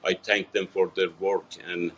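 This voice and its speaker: A man, answering a TV reporter's questions in a halting, earnest way with many fillers and restarts. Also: deep voice, flat delivery, a bit bright, a little hiss or hum. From Iran. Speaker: interviewee. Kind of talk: TV news interview.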